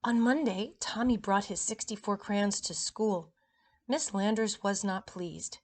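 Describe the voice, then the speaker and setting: Woman, children's read-aloud, expressive storytelling, medium pitch, chatty with young listeners. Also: a little slow.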